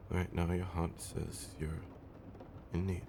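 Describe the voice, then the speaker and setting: A man playing a centuries-old vampire husband in an intimate comfort roleplay, tender and theatrically fussy, with genteel, old-fashioned wording and dramatic pauses. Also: sits low, monotone, vocal fry, noisy background, full character voice. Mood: tender concern. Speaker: audio roleplay performer.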